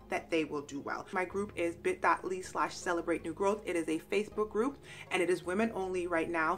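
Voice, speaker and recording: Bright-voiced woman giving motivational coaching. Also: medium pitch. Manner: instructive, emphatic, rhetorical questions. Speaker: life coach and vlogger host. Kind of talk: motivational self-help talk to camera.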